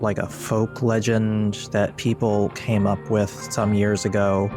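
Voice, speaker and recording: A man narrating a tabletop actual play as game master, with measured, deliberate storyteller phrasing. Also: fairly low pitch.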